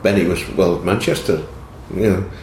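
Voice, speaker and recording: Man, middle-aged, very low pitch, hesitant halting talk, roomy echo, faint hiss. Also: a little mellow. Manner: hesitant, full of restarts and repetitions. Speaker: actor. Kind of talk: interview conversation. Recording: echoey room with faint background noise.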